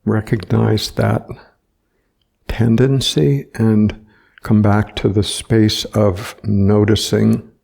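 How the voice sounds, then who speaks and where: Slow, gravelly man guiding meditation. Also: lowish voice, level tone. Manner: gentle, unhurried, with long pauses. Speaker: meditation teacher. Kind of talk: guided meditation.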